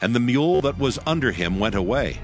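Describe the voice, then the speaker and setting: A man's voice: mid-range voice, animated, brisk. A man reading scripted scripture as the narrator of a dramatized audio Bible. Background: background music.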